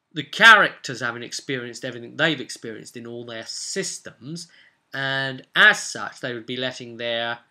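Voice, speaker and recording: A man's voice: medium pitch, pushed and bright. A man talking casually and reflectively as a game master, rambling and questioning himself with tag questions as he goes. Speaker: game master and vlog host. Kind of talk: casual reflective monologue about tabletop role-playing.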